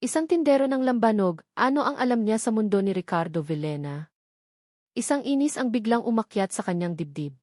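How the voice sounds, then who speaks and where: A woman's voice: medium pitch, lively intonation, brisk, darkish tone, no room sound, studio quality. A woman narrator reading a romantic story in a dramatic scripted storytelling style.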